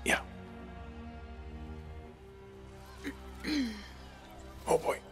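A man's voice: slow, fairly bright tone, faint music behind. A man voicing an evasive veteran mercenary in a video game, hesitant and awkwardly polite. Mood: queasy, reluctant.

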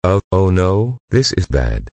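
A man with a husky voice playing an observer reporting a cosmic disaster in stilted amateur-drama dialogue. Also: deep voice, big pitch swings, measured pace, a little mellow, slight background noise. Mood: alarmed.

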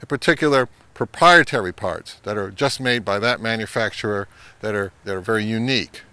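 A middle-aged man hosting an advice vlog, speaking to camera in an emphatic way with deliberate pauses. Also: fairly low pitch, pushed and bright. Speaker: host of an audio gear channel. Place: home listening room, later an open grassy field.

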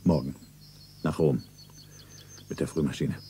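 A very deep, dark-voiced man, playing a tender, evasive lover. He speaks placatingly, over some background noise and chirping. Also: measured pace. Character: evasive, gentle male lover saying farewell. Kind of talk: melodramatic radio drama.

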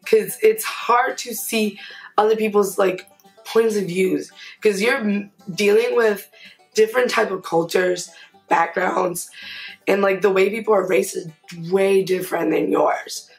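A woman's voice: mid-range voice, fairly even intonation, a little slow, faint music behind, rough recording. A young woman from Queens, a college resident assistant, giving candid interview answers with casual fillers. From Queens, New York. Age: young adult. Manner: candid, talkative, with fillers like "honestly". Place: indoors before a plain grey backdrop.

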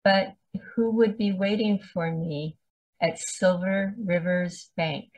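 A woman's voice: on the low side, takes it slowly, a little mellow, far from the mic, rough recording. A woman reciting a poem, reading it aloud line by line. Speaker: reader of another poet's poem. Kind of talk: poetry recitation.

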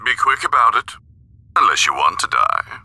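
Harsh, forceful alien henchman. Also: on the low side, sing-song, moderate pace, no echo, exaggerated voice. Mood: menacing. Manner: commanding, threatening. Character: curt, menacing male alien henchman of a tyrant. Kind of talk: English game dub acting.

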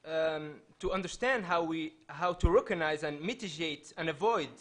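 A man in his thirties, raised in Belgium with Dutch as his first language, giving an earnest, measured lecture from a panel table in a hall, often restarting his phrases. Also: a little high, fairly bright tone. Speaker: panelist speaker. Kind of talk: public lecture. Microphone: table microphone.

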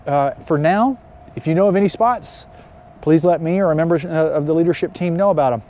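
A middle-aged man with a fairly high, soft-edged voice speaks as a pastor to his congregation. He is measured, pausing often as he goes. He is recorded outdoors. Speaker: pastor leading an online worship gathering. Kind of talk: spoken announcements and pastoral address. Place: outdoors beside a river.

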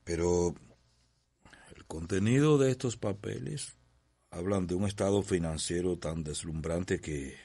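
An actor playing a deferential, cautious gentleman who advises on money in a radio soap. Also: low-pitched, measured pace, gravelly, slightly rough, exaggerated voice. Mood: respectful. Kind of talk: radio soap opera acting.

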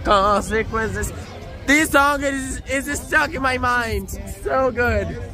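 A hoarse young man gushing to his phone camera while walking city streets at night after a concert. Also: very high voice, slow pace, soft background music, a faint crowd. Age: young adult. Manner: gushing, excitable. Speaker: vlogger. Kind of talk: casual vlog talk to camera. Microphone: phone held at arm's length.

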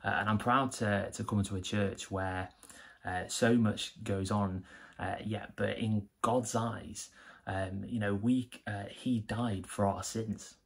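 An earnest young man speaks in a low, husky voice with a gravelly edge. He talks informally and warmly enthusiastic to a close camera. The sound comes from a small, plain room. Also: fairly even intonation, takes it slowly. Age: young adult. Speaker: church member giving a devotional. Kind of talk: informal devotional talk to camera.

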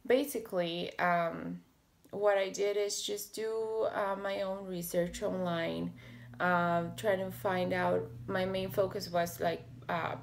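A woman in her thirties talking to the camera in a casual home vlog, slightly hoarse and raspy, with many fillers and restarts. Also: lowish voice, slight background noise. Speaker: health vlogger. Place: small home office with bookshelves, indoors. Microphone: webcam or laptop camera at desk.

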